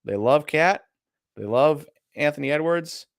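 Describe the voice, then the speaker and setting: A man's voice: a little high, animated, a little slow, creaky, no echo, studio quality. A man with a Midwestern American accent, co-hosting a casual live stream and thinking aloud as he browses listings. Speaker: co-host of a card auction stream.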